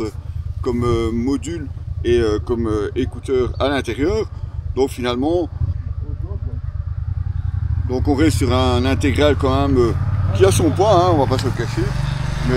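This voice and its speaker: Belgian French man reviewing gear outdoors, noisy background. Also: medium pitch. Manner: hesitant, explanatory, conversational. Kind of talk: casual unscripted product review to camera. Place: outdoors, stopped during a motorcycle ride. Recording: clear outdoor ambience and traffic noise.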